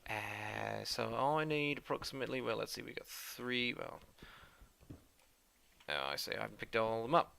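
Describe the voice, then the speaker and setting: A bright, slightly gravelly voice from a man doing a cheeky mock-Australian accent. He rambles playfully and mocks himself as he narrates a game, with a crisp, lively edge. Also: lowish voice, moderate pace, slight background noise, exaggerated voice. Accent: put-on Australian, based on a New Zealand acquaintance. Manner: playful, self-mocking, rambling. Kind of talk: let's-play commentary.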